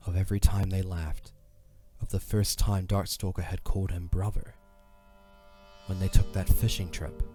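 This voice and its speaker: A man giving a dramatic scripted narration in a solemn, measured way. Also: deep voice, a little mellow.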